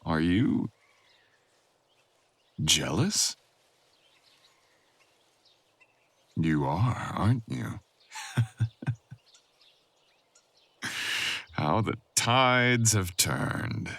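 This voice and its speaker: Man playing a very deep-voiced brooding supernatural boyfriend. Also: lively intonation, extremely slow, creaky, pauses often, fairly bright tone, husky, no echo, exaggerated voice. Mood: amused, tender. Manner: intimate, teasing, drawling. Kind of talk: boyfriend ASMR audio roleplay.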